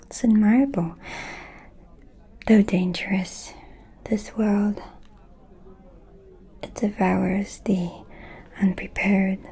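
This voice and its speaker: Woman acting as an ancient vampire lady, asmr roleplay, breathy mellow whisper, super slow n seductive, kinda menacing. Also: medium pitch, fairly even intonation. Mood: seductive, probing. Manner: slow, deliberate, pausing, intimate.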